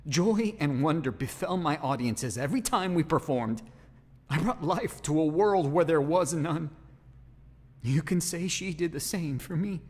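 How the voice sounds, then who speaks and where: Dramatic defiant ventriloquist, high pitched and really animated, big reverb, audio drama. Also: male voice, a little slow. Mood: solemn, confessing. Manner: dramatic, impassioned, sarcastic. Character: theatrical male ventriloquist, agitated, defiant, brooding murder suspect. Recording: long reverb, music underneath.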